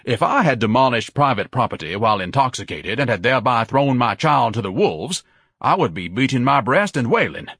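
A man's voice: mid-range voice, big pitch swings, brisk, a bit bright, breathy edge, no room sound, clean studio sound, voice pushed up, exaggerated voice. A man voicing a haughty, self-pitying grown son who declaims in grandiose, over-articulate phrases, as an audiobook character. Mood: indignant, scornful.

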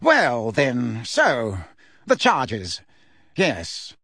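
A man's voice: mid-range voice, wildly swooping pitch, extremely slow, a bit bright, slightly rough, dry recording, studio quality, heavily acted. A man reading aloud, voicing a pompous middle-aged male official who talks in a testy, fussy way. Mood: flustered.

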